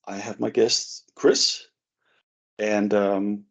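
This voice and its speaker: Podcast host guy, super gravelly and husky, lots of ums and stumbles. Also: on the low side, level tone, very slow. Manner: hesitant, many fillers and repeated words. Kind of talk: casual interview hosting. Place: office overlooking the harbour. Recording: dull lo-fi sound.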